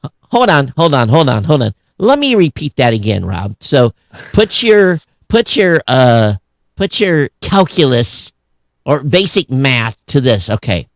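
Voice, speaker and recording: Male podcast co-host, middle-aged, blunt and sarcastic, average pitch, dry studio-tight sound. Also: big pitch swings, measured pace, no room sound. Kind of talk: casual opinionated tech news chat.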